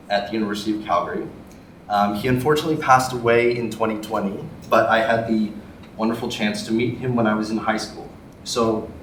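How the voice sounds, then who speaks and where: A young man from Canada with a Canadian accent, giving a public maths lecture in a relaxed, friendly way with frequent ums. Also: lowish voice. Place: lecture hall. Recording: echoey hall from far off, faint noise.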